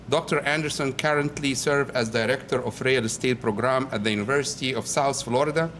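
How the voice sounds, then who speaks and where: A man of ordinary pitch, reads a prepared introduction at a university event. He is measured and careful, tripping over a word now and then. A faint hum sits under the voice. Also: a bit bright. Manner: measured, reads from notes with small slips. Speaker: faculty host introducing a keynote speaker.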